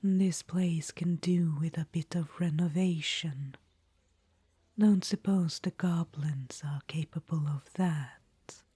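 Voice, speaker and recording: A woman's voice: lowish voice, steady tone, takes it slowly, warm tone, no room sound, heavily acted. A woman voicing an ancient, vain undead witch-queen in an audio roleplay, whispering and calling the listener darling. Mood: languid, conspiratorial. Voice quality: breathy whisper.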